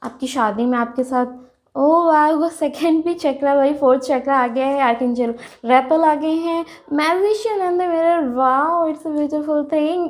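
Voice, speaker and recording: A woman hosting a casual tarot reading with a sore throat, clearing her throat often and chatting excitedly to her viewers as friends. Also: sits high. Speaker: tarot reader, channel host.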